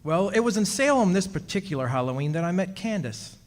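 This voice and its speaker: A man's voice: high-pitched, expressive, a little fast. An actor playing an uneasy immortal man, telling his story in a confiding, conversational way in a radio drama revival. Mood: eerie, recalling. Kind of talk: stage revival of 1940s radio drama narration.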